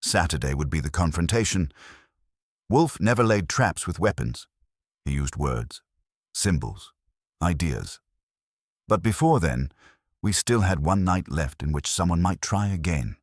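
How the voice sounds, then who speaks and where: A man with an extremely low voice narrates a first-person detective story. His pitch moves in lively swings from line to line. The sound is dry, with hardly any room on it. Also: a little slow, pauses often, no echo.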